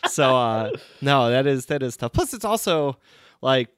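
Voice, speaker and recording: A man with a cold talks in a casual podcast chat, his nose stuffy and his delivery rambling and self-mocking. His voice sits at an ordinary middle pitch, recorded dry and close with no room sound. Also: sing-song, measured pace, fairly bright tone, clear, resonant tone, no room sound. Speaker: podcast co-host.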